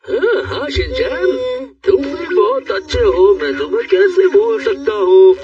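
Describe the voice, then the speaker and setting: A man playing a grumpy but doting old grandfather in a Hindi cartoon dub, blustery and quick to scold. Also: sits high, huge pitch swings, heavily acted. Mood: placating. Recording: dull, distant audio with background music.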